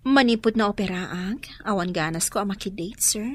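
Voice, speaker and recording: A woman's voice: mid-range voice, normal speed, a little hiss or hum, lightly acted. A woman playing a sweet, encouraging, deferential companion to her boss in an Ilocano radio drama. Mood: coy, sweet.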